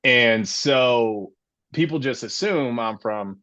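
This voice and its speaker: A man's voice: medium pitch, slow pace, bright, forceful tone, no room sound, muffled recording. A man in his late forties from Florida, hosting a casual sports podcast and telling his own story with passion. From Florida, USA. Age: 40s. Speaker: podcast host.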